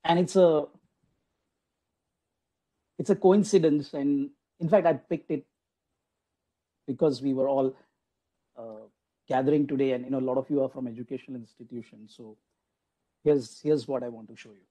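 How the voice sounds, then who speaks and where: A man's voice: mid-range voice, fairly even intonation, moderate pace, long pauses, dark tone, rough recording. A man giving a conversational online lecture to teachers, with many restarts and "you know" asides, over a video call. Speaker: guest lecturer at a faculty development program.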